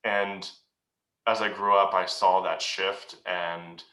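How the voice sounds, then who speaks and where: A man answering questions as a guest at a meeting, speaking in an earnest, reflective way with many pauses and restarts. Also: low voice, flat delivery, sharp tone. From United States. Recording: roomy echo.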